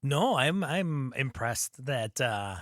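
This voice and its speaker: A man co-hosting a casual gaming podcast, laid-back and laughing easily, his voice a bit raspy and tired. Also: medium pitch, lively intonation, slow, a bit bright, dry recording, clean studio sound. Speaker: podcast co-host. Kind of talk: casual chat.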